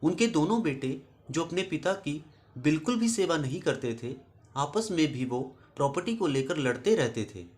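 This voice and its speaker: A man with a somewhat high voice narrates a moral story from a script. He speaks to his listeners as a storyteller and host, earnest and instructive. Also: lively intonation, normal speed, a little mellow.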